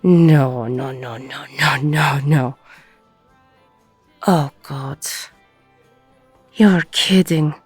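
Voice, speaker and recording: A woman playing a weary, gruff, middle-aged ex-con bartender, husky and breathy, blunt and self-deprecating, in an intimate audio roleplay. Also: deep voice, drawn-out words, long pauses, darkish tone, no echo, soft background music, full character voice. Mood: flustered, incredulous.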